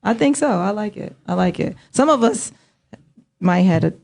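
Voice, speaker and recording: A woman's voice: mid-range voice, lively intonation, normal speed, gravelly, a little mellow. A woman hosting a casual beauty talk show indoors, joking and laughing with loose filler-heavy speech. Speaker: host. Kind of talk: casual chat. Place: indoor set, table of guests.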